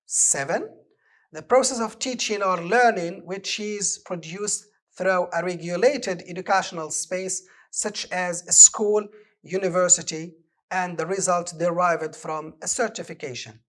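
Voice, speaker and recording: Slow, deliberate Moroccan English teacher. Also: male voice, high-pitched, fairly bright tone, poor audio. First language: Moroccan Arabic. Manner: slow, deliberate, reads exercise items aloud and explains answers. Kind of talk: televised distance-learning lesson.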